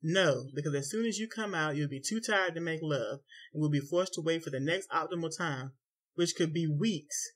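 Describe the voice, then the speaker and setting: Guy voicing a frustrated husband in a story, low tight voice, stern. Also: moderate pace, a bit bright, husky. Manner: low and tight, dropping to near whisper.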